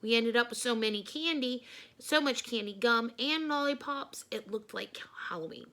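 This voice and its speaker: A woman reading a children's storybook aloud in an expressive, emphatic way, like a teacher addressing young listeners. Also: fairly high pitch, normal speed.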